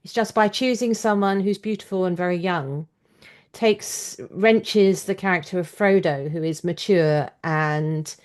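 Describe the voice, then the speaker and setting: A woman's voice: on the low side, a little slow, darkish tone, clear, resonant tone. A woman hosting a podcast interview, offering her opinions in an articulate, reflective, conversational way. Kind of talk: conversational discussion, opinionated commentary.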